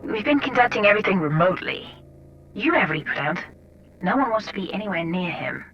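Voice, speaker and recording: A woman acting a brisk, guarded agency escort at a prison in an audio drama, matter-of-fact and wary. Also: mid-range voice, expressive, a crowd in the background, close to an everyday voice. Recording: dull lo-fi cassette, music behind.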